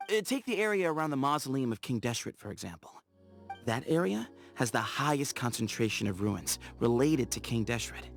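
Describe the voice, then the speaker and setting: An actor voicing an easygoing, playful young explorer in a game, chatty and teasing, laughing easily. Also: male voice, medium pitch, vocal fry. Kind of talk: game character voice lines.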